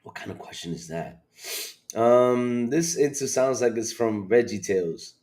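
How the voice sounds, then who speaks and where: Man, livestream host, congested and hoarse, animated casual chat, mild swearing. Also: a little slow. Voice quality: hoarse, grumpy-sounding congested voice. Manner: animated, loud bursts and low asides, mild swearing. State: congested, sick with allergies or a cold.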